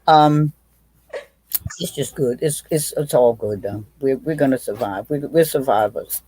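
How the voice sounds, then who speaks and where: A woman's voice: a bass voice, a little slow, warm tone, breathy edge, low-quality recording. A woman, a witty, blunt guest telling stories in a casual video chat, often restarting her sentences. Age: 80s. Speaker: guest, aunt.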